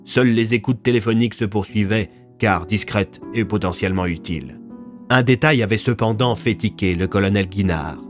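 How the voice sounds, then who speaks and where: Low-voiced man narrating a story. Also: normal speed, soft background music. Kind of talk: scripted narration of audio fiction.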